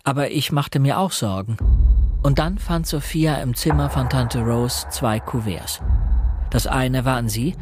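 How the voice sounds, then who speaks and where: An actor playing a reflective young man, narrating a radio crime drama in a measured, earnest way. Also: medium pitch, noisy background, close to an everyday voice. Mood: sombre. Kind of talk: scripted first-person radio drama narration.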